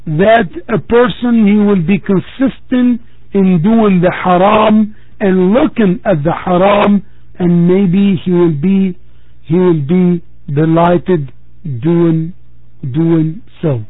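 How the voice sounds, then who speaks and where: A man giving a religious talk to a congregation as preacher, measured and repeating phrases for emphasis. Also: sits high, dry recording. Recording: tinny phone-like, dry sound.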